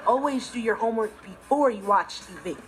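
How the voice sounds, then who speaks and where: High-pitched earnest American teenage boy played by a man. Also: brisk, very noisy, lightly acted. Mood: preachy. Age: teenage to young adult. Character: earnest, rule-following, easily exasperated teenage brother. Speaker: sitcom lead. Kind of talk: scripted sitcom monologue to camera.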